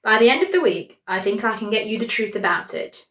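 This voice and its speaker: A woman's voice: medium pitch, lively intonation, brisk, a bit bright, echoey room, lightly acted. A woman reading as a wry male journalist. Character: witty male journalist writing a gossipy letter.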